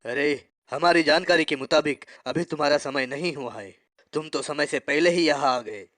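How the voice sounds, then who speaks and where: A man's voice: on the high side, moderate pace, a bit bright, dry recording, studio quality, close to an everyday voice. A man voicing a brusque, bureaucratic afterlife official in a cartoon, firm and officious. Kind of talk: cartoon voice acting.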